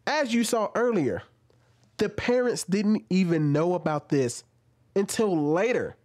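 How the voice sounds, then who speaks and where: Animated man questioning at home. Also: sits high, measured pace. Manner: animated, questioning, gestures while talking. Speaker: commentary host. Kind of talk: casual commentary. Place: small room at home, indoors. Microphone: studio mic on an arm, wearing headphones.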